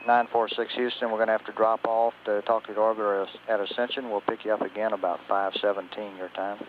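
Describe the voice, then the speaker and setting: A man's voice: on the low side, level tone, quick pace. An American man working as a mission control communicator, speaking in procedural radio calls over a two-way radio link. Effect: two-way radio transmission. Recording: tinny, noisy 1980s space radio link.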